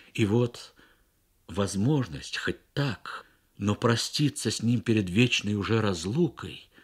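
A man reads a literary short story for radio in measured, expressive phrases with frequent pauses. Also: low-pitched, breathy edge. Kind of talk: literary narration, Soviet radio story reading.

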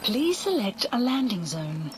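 A slow, measured female computer announcer for a military game. The voice lifts and dips in a lively, sing-song way over music, each message clipped and mechanical. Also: medium pitch.

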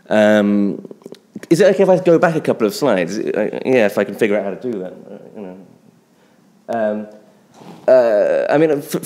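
Male academic, middle-aged, slightly low pitch, hesitant seminar delivery, loosely spoken. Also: a little mellow. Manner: hesitant, halting, thinking aloud. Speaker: academic speaker responding to a paper. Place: indoor seminar room at a lectern. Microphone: gooseneck lectern microphone.

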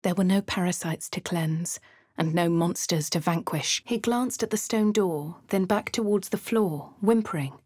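A woman voicing a refined, posh young adventurer with a British accent, speaking crisp, clipped game lines and narration. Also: fairly low pitch, moderate pace, a little mellow, dry recording, studio quality. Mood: composed. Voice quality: crisp, well-spoken. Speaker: player character.

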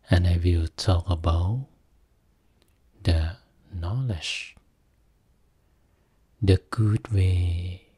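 A man guiding a Buddhist meditation as a teacher, speaking soothingly in short phrases with long pauses between them. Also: deep voice, mellow, slightly rough. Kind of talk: guided meditation.

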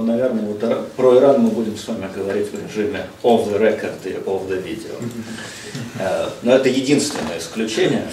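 A middle-aged man speaks from a table in a seminar room, his lowish voice steady and unhurried. He pauses often on drawn-out hesitations and drops in English phrases. He is picked up from across an echoey room, with a faint hiss underneath. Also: recorded from a distance. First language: Russian. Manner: measured, frequent "э" hesitations, mixes in English phrases. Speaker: visiting lecturer. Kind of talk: seminar lecture. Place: seminar room, seated at table before a projected slide. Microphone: distant room mic, speaker seated across the room.

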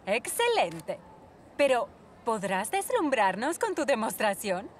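A woman voicing a cheerful cartoon contest presenter with a showy, drawn-out delivery. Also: a little high, big pitch swings, reverberant, slight background noise. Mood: cheerful presenting. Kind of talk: animated cartoon dubbing.

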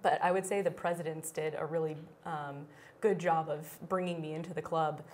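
A woman's voice: deep voice, steady tone, normal speed, a little mellow, slight background noise. A woman around thirty answering interview questions in a TV studio, chatty and full of "um" fillers. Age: 30s. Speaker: interview guest. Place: small TV studio set.

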